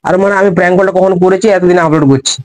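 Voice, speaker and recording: Fast-talking young man, slightly high voice. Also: steady tone, darkish tone. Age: young adult. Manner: informal, slangy, crude. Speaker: prank-call video creator and host. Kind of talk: casual chat to viewers and prank calls.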